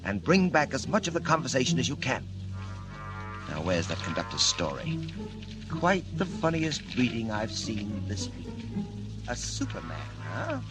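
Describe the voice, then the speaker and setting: An actor playing a smug criminal mastermind who gives orders in a precise, sardonic way, in the theatrical style of a 1940s radio serial. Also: male voice, medium pitch, very animated, normal speed, lightly acted, low-quality recording. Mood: smug, scheming. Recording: vintage 1940s radio recording, loud music behind.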